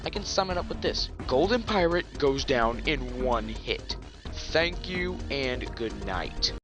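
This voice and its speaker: A man's voice: mid-range voice, lively intonation, slow, music in the background. A man giving scripted commentary for a gaming video, sarcastic and profane, with ranting asides.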